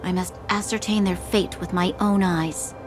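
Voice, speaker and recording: A woman's voice: on the low side, fairly even intonation, normal speed, close to an everyday voice. A woman voicing a stern, dutiful young military commander in an anime game, formal and resolute. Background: game music.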